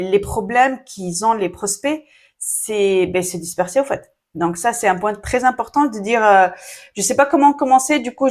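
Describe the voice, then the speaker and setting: A woman giving casual online-business advice straight to her viewers, chatty and full of fillers, with a hint of an Arabic first language. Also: medium pitch. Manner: chatty, frequent fillers, addresses viewers directly. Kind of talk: casual talking-to-camera advice.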